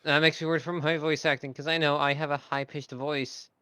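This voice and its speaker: Bright, smooth-voiced male player. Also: on the high side, little pitch movement, fairly quick, dry recording, clean studio sound. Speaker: tabletop game player. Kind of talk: casual chat.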